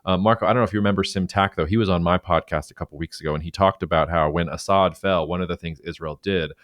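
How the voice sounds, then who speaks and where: A man's voice: sits low, fast talker, dry recording, clean studio sound. A man co-hosting a podcast, talking through news in a loose, chatty way with fillers and restarts. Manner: talkative, many fillers and restarts, animated when quoting. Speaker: podcast co-host. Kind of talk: casual current-affairs discussion.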